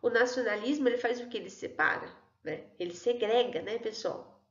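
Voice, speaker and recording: High-voiced woman teaching history. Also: brisk. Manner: chatty, keeps checking in with "tudo bem?" and "né, pessoal?". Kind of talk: online history lesson. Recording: echoey room, dull lo-fi sound.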